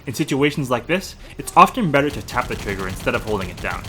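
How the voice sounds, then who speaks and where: A man delivers informative gameplay commentary at a quick clip. His pitch moves around in a lively way as he methodically explains and adds his own opinions. The voice is bright and forward. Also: soft background music. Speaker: game walkthrough narrator.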